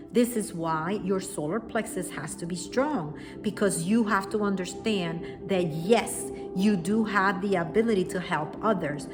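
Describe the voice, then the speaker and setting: Earnest woman with a strong Puerto Rican accent. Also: mid-range voice. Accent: Puerto Rican Spanish. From Puerto Rico. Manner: earnest, reassuring, speaks directly to viewers. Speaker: spiritual teacher. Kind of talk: unscripted spoken lesson to camera. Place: at home, quiet room. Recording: music playing behind the voice.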